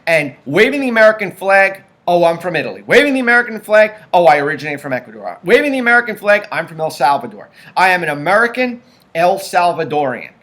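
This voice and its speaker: A man's voice: high-pitched, big pitch swings, fast, fairly bright tone. A man hosting a political commentary show, talking emphatically and stacking up points in lists.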